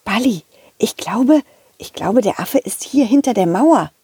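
A woman voicing an eager, loyal talking dog in a children's audio story, excitedly repeating words. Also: a little high, measured pace, breathy edge, dry recording, clean studio sound, exaggerated voice. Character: eager, loyal, confident talking puppet dog, detective helper.

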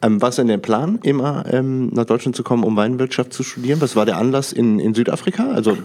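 A man from the Lower Rhine in Germany hosting a conversational interview, asking informal, rambling questions that often end in tag questions. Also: quick pace, a little mellow. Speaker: podcast interviewer.

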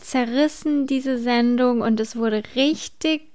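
A woman's voice: on the high side, takes it slowly, darkish tone, clean tone. A woman chatting casually, adding indignant comments and agreeing often. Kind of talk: casual chat.

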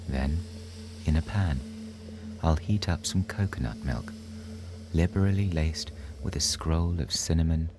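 A man narrating a scripted bedtime sleep story in a soothing, hushed, unhurried storytelling manner. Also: very deep voice, background noise. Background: soft relaxation music.

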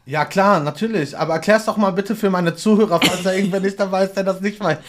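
A man's voice: high voice, steady tone, fast talker, a crowd in the background. A man hosting a casual podcast interview, chatting loosely with lots of fillers and small self-deprecating jokes. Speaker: podcast host.

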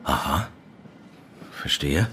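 A man's voice: a bass voice, animated, extremely slow, fairly bright tone, a little hiss or hum, exaggerated voice. A man playing a brusque, probing handler of an undercover informant in a naturalistic audio drama, colloquial and prone to swearing. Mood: probing, suspicious.

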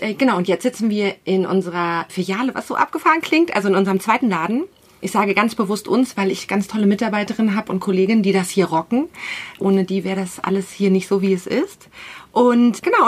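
Chatty husky-voiced woman. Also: medium pitch, quick pace. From Berlin, Germany. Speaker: guest, vintage shop owner. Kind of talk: casual interview chat. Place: small clothing shop.

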